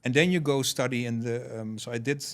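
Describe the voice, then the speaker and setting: A man from Curaçao, a guest on a podcast, telling stories in a thoughtful, relaxed way with many fillers. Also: medium pitch, a little fast. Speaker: podcast guest, payments founder. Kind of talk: casual podcast conversation.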